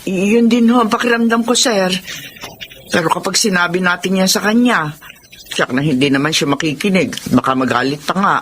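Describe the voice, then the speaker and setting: An actress playing a respectful, gossipy household maid who speaks hesitantly, with a Filipino accent, in a radio drama. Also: female voice, on the low side, brisk, fairly bright tone, slight background noise, close to an everyday voice. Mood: conspiratorial. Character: deferential, gossipy household maid, concerned and conspiratorial.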